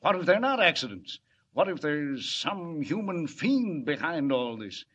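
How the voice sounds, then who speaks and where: An actor playing an anxious, gruff, exasperated city commissioner in 1940s radio drama style. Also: male voice, fairly high pitch, big pitch swings, measured pace, a bit bright, heavily acted. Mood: exasperated, alarmed. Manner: emphatic, exasperated, repeats himself. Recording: dull vintage radio recording.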